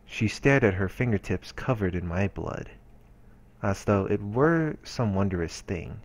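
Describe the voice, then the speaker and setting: Deep-voiced man reading a story. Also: animated, measured pace. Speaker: let's play narrator reading a visual novel aloud. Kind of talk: reading game narration aloud.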